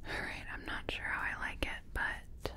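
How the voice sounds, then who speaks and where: A woman playing a nervous makeup artist in an ASMR roleplay, speaking in a breathy whisper and murmuring hesitantly to herself as she works. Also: moderate pace, bright, forceful tone. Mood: focused, unsure. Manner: murmured, hesitant self-commentary while working. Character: nervous female makeup artist competing in a contest. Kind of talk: soft-spoken ASMR personal attention roleplay.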